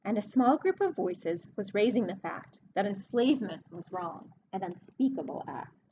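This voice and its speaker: A woman librarian reading a picture book aloud to children, expressive and explanatory, pausing to speak to her young listeners. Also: mid-range voice, dull audio, background noise. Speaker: children's librarian hosting story time.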